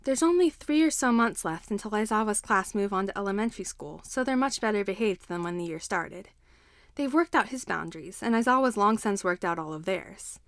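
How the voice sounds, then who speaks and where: A woman reading fan fiction aloud as an expressive scripted story narration. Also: mid-range voice, fairly quick, no room sound, studio quality.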